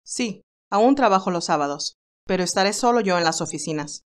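A woman's voice: mid-range voice, expressive, brisk, natural voice. A woman voicing a loyal, anxious male assistant in an audiobook, formal and deferential.

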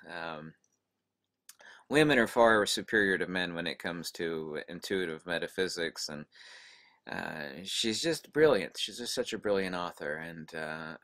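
A man's voice: sits low, fairly bright tone. An American man hosting and casually introducing a guest in an effusive, admiring manner. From United States. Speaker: video host.

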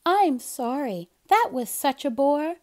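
Young female kitten, playful, bossy, gushing and high-pitched, done by a woman reader. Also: animated, slow, darkish tone.

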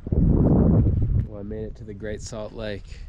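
A man's voice: on the low side, monotone, slow pace, highs cut off. A young man chatting casually to his camera while camping outdoors, thinking aloud as he goes. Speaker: vlogger. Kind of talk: casual travel vlog narration. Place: outdoors at a campground and lake. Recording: noisy outdoor camera audio. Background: loud outdoor ambience with rain and water.

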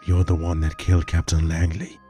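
A composed male vampire cop from a spoken story, talking low and steady with quiet authority, as a man puts him on. His voice stays dark and unhurried. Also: soft background music, lightly acted. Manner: calm and firm. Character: calm, steady male vampire police officer.

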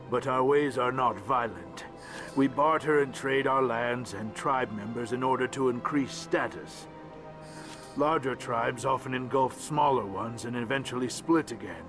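A man's voice: medium pitch, close to an everyday voice. An actor playing a grumpy, condescending small alien male ambassador in a video game, over background music. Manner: curt, resentful, condescending.

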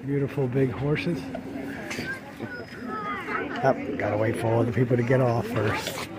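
A man's voice: medium pitch, steady tone, a little slow. A man in his forties filming a casual vlog on his phone outdoors at night, chatting and thinking aloud as he boards a horse carriage. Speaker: vlogger. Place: outdoors on a busy town street at night, then on a horse carriage. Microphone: phone held at arm's length. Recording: loud crowd and street noise.

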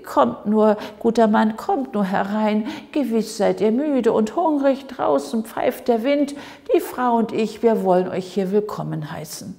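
A woman voicing a kindly, humble, pious old man in a fairy tale, gentle and deferential. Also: on the high side, expressive, measured pace, gravelly. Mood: kindly, welcoming. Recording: echoey hall with long reverb.

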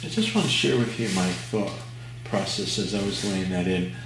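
An older man, giving a relaxed painting demonstration at his easel and thinking aloud with fillers and restarts. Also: low voice, moderate pace. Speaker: painting instructor. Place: art studio at an easel, indoors. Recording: very echoey room, mic far off, audible noise.

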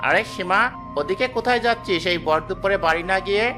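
A man's voice: sits high, a little fast, over music, close to an everyday voice. A man voicing a friendly, chatty village neighbour in a children's cartoon. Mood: puzzled, helpful.

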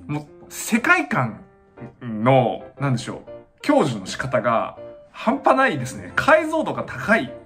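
A young adult man giving casual reaction commentary, excitable and often exclaiming in awe, recorded indoors in a small room. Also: medium pitch, unhurried, soft background music. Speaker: dance reaction channel host.

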